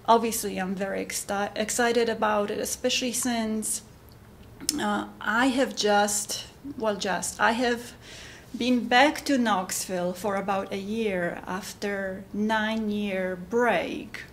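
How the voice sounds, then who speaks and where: A middle-aged woman with a Polish accent, talking informally and haltingly about her own work as a composer. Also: mid-range voice, steady tone, slight background noise. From Poland. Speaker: composer giving updates. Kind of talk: informal monologue to camera.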